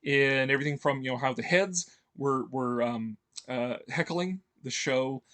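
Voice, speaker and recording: Male video host, mid pitch, loose unscripted delivery full of fillers and restarts. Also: a little slow, a bit bright. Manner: rambling, heavy on fillers and restarts; chuckles often. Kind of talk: casual enthusiastic commentary.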